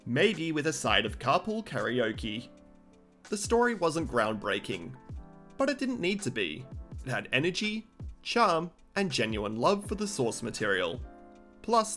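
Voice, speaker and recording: A man delivers scripted review narration as the host of a countdown video. His pitch moves in a lively way around an ordinary male register, with faint music playing underneath.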